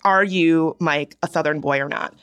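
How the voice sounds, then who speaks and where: A young woman from Virginia co-hosting a podcast, chatting casually and giving animated, opinionated takes. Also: low-pitched. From Virginia, US South. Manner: animated, opinionated, drawn-out storytelling. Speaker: podcast co-host. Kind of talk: casual chat.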